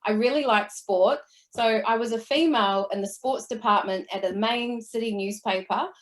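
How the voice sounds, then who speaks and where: A woman's voice: on the high side, moderate pace, poor audio. A woman in her forties with a New Zealand accent, chatting easily as an interview guest and telling stories about her career. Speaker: guest, entrepreneur. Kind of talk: casual interview chat.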